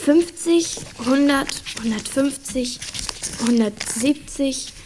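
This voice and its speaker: A woman's voice: high voice, slow pace, noisy background. A young female performer playing an eager, earnest and polite schoolgirl in a German children's radio play. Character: eager schoolgirl who adores her lost cat.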